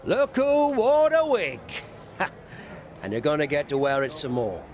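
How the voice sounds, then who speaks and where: An actor playing a cheeky, blustering wrestling coach in a video game, with a jovial, teasing manner. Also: male voice, fairly high pitch, huge pitch swings, unhurried, over music. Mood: jovial, breezy. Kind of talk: video game cutscene acting.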